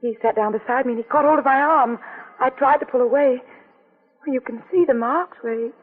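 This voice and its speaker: A woman's voice: high-pitched, fairly quick, breathy edge. An actress playing a frightened young woman with amnesia, anxious and pleading, in 1940s radio acting. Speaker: detective's client. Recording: tinny old radio broadcast.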